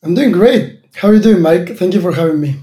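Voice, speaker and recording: Thoughtful Argentine-born founder, mellow voice. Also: male voice, high voice, lively intonation, brisk. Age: 30s. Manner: thoughtful, many fillers and restarts. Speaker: startup founder and guest. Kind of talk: interview answers.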